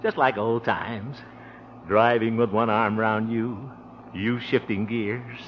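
A man's voice: lowish voice, fairly even intonation, slow pace. An American actor playing a genial but jittery, blustering middle-aged man in an old-time radio sitcom, with theatrical, flustered delivery. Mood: cozy, playful. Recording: muffled, noisy early 1950s radio recording.

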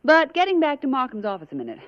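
A woman's voice: high-pitched, wildly swooping pitch, very fast. A woman playing a detective's loyal, curious companion in a 1950s radio drama, chatty and familiar. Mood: curious, breezy. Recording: dull old radio recording.

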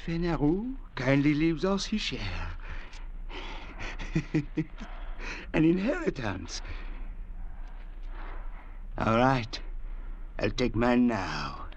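An actor playing a bitter, desperate castaway with deliberate, mock-courteous, menacing delivery in 1940s radio drama style. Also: male voice, medium pitch, big pitch swings, muffled recording. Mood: mockingly polite. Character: bitter, desperate male castaway, mockingly polite. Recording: noisy vintage radio recording.

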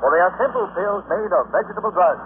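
A man's voice: high voice, lively intonation, fast talker. A man reading a commercial as an announcer in the style of 1940s radio advertising. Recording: tinny 1940s radio recording, faint noise.

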